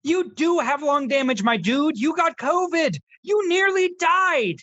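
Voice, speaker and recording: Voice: high voice, animated, measured pace, a bit bright, dry recording, muffled recording. An actor playing a sarcastic, know-it-all film critic in their twenties in a scripted comedy radio skit. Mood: exasperated. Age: 20s. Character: snarky, earnest twenty-year-old Zoomer film critic.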